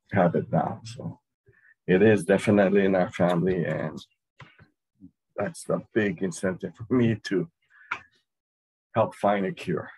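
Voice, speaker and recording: Hawaii-raised man, webinar volunteer; deep raspy voice, halting delivery. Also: a little mellow, poor audio. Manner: halting, searching for words. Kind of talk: casual webinar introduction.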